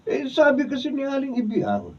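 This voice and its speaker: A man's voice: very high-pitched, huge pitch swings, moderate pace, full character voice. A man with a Filipino accent acting in a radio drama as a hapless young handyman. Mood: sheepish. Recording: distant, muffled room recording.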